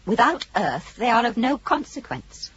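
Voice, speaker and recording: An actress playing a calm, calculating female ship computer in a radio drama, speaking in a formal, coldly logical way. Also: fairly high pitch, unhurried, crowd noise. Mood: cool, calculating. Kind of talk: 1980s radio drama acting. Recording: dull old radio recording, light noise.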